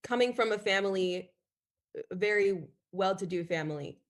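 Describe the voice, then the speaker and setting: A woman's voice: medium pitch, normal speed. A woman in her twenties calling into an advice show, speaking hesitantly with many fillers over a video call. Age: 20s. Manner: hesitant, full of "like". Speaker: caller. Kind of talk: call-in conversation.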